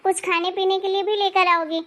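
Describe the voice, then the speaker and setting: A woman voicing an irritable married daughter in an animated family story, sharp, bossy and sarcastic. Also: extremely high pitch, fairly quick. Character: irritable, bossy married daughter visiting her parents' home.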